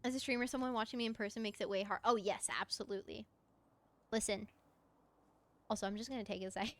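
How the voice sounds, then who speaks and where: A woman chatting casually on a livestream as she plays, answering viewers in an expressive, encouraging, effusive way with a mezzo voice. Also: mid-range voice, fast. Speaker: VTuber live streamer. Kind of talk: casual livestream chat while gaming, answering viewer comments.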